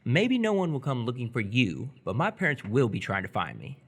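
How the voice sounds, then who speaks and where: Man acting as an animated, awkward teenage boy. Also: medium pitch, a little fast. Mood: curious, uneasy. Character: awkward, curious seventeen-year-old boy stranded overnight. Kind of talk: live radio theatre acting.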